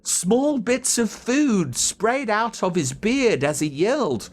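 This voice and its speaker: An Australian man narrating a children's story in a dramatic, expressive way. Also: high-pitched, takes it slowly, slight background noise. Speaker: audiobook narrator.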